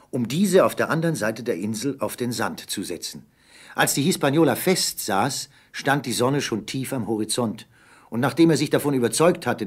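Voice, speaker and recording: Husky male radio-play narrator. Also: mid-range voice.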